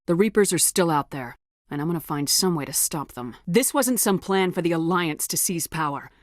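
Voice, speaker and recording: Tough female space commander for a video game, played by an actress: slightly low register, resolute and commanding delivery, very dry recording. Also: lively intonation, moderate pace, no room sound, natural voice. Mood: determined, serious. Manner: firm, clipped, commanding. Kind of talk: scripted sci-fi video game dialogue.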